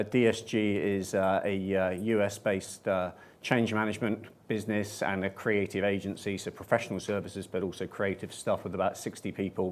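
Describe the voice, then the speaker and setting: Male panelist, low and flat delivery, halting and deliberate. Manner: measured, hesitant with many fillers. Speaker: panelist, management consultant. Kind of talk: conference panel answer. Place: conference room with audience.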